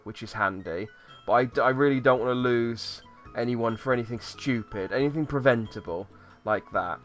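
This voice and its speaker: A man commenting on his own gameplay in a chatty, rambling way, thinking out loud as he goes. His voice is bright and forward, a touch hoarse from a recent cold, at a middling pitch. Faint game music runs quietly behind him. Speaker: let's play host.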